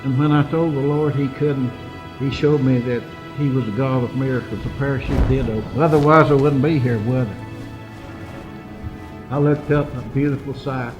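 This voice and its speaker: An older man, a war veteran, giving personal testimony in an earnest, deliberate way with dramatic pauses. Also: medium pitch, steady tone, dull audio. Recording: music under the voice.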